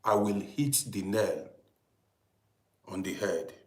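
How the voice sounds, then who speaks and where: A man's voice: on the low side, slow pace, echoey room. Chatty African man hosting a livestream. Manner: chatty, repeats himself, greets commenters by name. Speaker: livestream host. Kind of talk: casual livestream chat greeting viewers. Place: lives in Europe.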